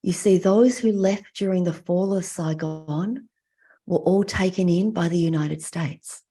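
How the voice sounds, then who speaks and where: A middle-aged woman with an Australian accent giving a reflective prepared talk with personal stories, speaking in measured, deliberate phrases with pauses. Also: fairly low pitch, dark tone, breathy edge. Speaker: mental health clinician giving a talk.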